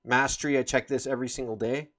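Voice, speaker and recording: A man's voice: medium pitch, fairly even intonation, quick pace, a bit bright. Man casually walking through a game. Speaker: game guild host giving a walkthrough. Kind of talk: informal screen-share game tutorial.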